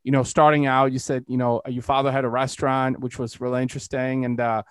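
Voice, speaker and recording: A man's voice: medium pitch, level tone, fast, clean tone, no echo, studio quality. A man from Eastern Europe hosting a podcast interview in a casual, rambling way, sprinkling his talk with filler phrases. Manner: rambling, frequent "you know". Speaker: podcast host, entrepreneur.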